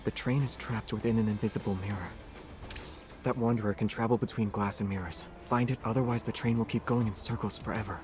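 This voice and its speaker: A man playing a calm young monster hunter in a video game, teasing in an understated, deadpan way. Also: fairly low pitch, brisk, over music, lightly acted. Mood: calm, serious.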